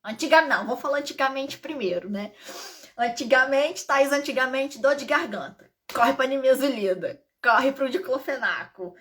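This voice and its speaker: A Brazilian woman, an integrative therapist and pharmacist, chatting casually to live-stream viewers with a slightly hoarse voice and frequent asides. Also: sits high, normal speed, fairly bright tone.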